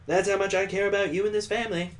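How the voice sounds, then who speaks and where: A man's voice: high-pitched, little pitch movement, racing, lightly acted. A man voicing a jovial, sentimental old father-in-law, hearty and earnest, in a visual novel read aloud. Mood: earnest, resolute.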